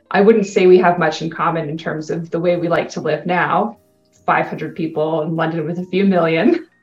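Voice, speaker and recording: A woman's voice: fairly low pitch, roomy sound, lo-fi sound. A Canadian woman in her thirties, a guest in an interview, talking casually and laughing often. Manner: upbeat, laughs often, chatty.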